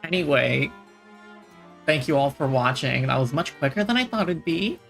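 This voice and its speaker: Creaky-voiced, chatty game streamer. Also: mid-range voice, animated, moderate pace, a bit bright. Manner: chatty, musing to self, trailing off. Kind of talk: casual game livestream commentary. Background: faint game music.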